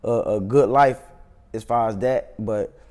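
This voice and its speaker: A man's voice: medium pitch, unhurried, a little mellow. A young adult man with a Chicago accent, giving a casual interview in slangy, profane street talk. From South Side of Chicago, United States. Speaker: interview guest, rapper.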